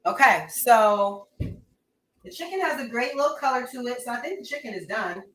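Young female cooking streamer, bright and higher-pitched, loose conversational delivery to viewers, recorded in a roomy, echoing kitchen. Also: animated, normal speed. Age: young adult. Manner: informal, slangy, chatting to viewers while moving around. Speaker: cooking livestream host. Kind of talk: casual chatty livestream while cooking. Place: home kitchen, indoors. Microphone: webcam-style mic at arm's length or farther.

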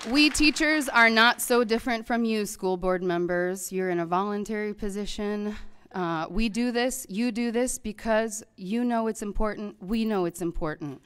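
A woman's voice: medium pitch, moderate pace, clear, resonant tone, some chatter behind. A woman in her thirties, a teacher reading a prepared public comment at a podium microphone in a large meeting hall, earnest and pleading. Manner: earnest, pleading, rising to loud emphasis.

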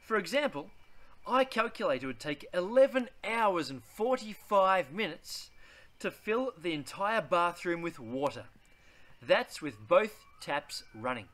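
A man, reading a children's picture book aloud in a deliberate, theatrical way. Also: high-pitched, very animated, a bit bright. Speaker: read-aloud host.

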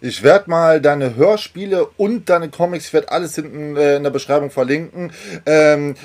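A man's voice: on the high side, normal speed, darkish tone. A man hosting a casual fan interview as the interviewer, talking in an enthusiastic, effusive way. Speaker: interviewer and channel host.

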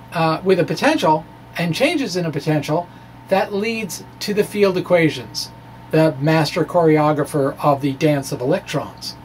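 A middle-aged man reads a science explainer aloud at an unhurried pace. His phrasing is deliberate and a little theatrical. He speaks into a microphone indoors, with noticeable background noise. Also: high-pitched. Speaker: science blogger and presenter. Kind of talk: dramatic reading of a physics blog, explanatory. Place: small indoor room with bookshelves. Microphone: microphone on a stand in front of him. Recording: clear steady background noise.

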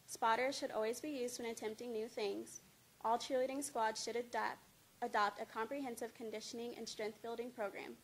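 Higher-pitched young woman giving a speech. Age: young adult. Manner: reads from notes, stumbling over words. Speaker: student speaker. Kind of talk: scripted informative speech read aloud. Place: indoor studio with green screen. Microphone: handheld-type mic on a stand at a lectern. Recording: clear background noise, room ambience.

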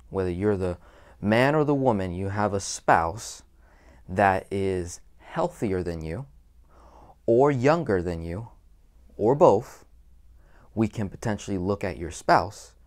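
A young man teaching personal finance at a whiteboard indoors, talking directly and punching the key numbers with emphasis. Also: low voice. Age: young adult. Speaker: financial educator.

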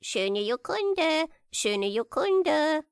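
A storybook parrot, squawking its calls. The words are stretched extremely slowly, with a high, swooping pitch. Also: female voice, sing-song, gravelly, no echo, clean studio sound, exaggerated voice. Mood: mimicking, calling. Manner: drawn-out squawky calling. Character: chattering pet parrot in gilded cage.

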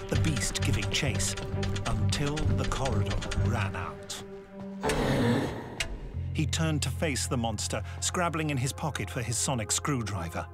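A man narrates a dramatic audio story. His reading is animated, with plenty of movement in the pitch, and music plays behind him. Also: unhurried.

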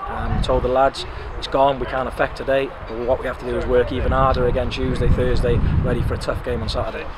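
A man in his thirties gives a blunt post-match interview as a club manager, talking at an ordinary pitch and filling gaps with "um" and "you know". He is recorded outdoors beside a football pitch. Loud wind and traffic noise run under his voice the whole time. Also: quick pace. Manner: frank, animated, lots of "um" and "you know".

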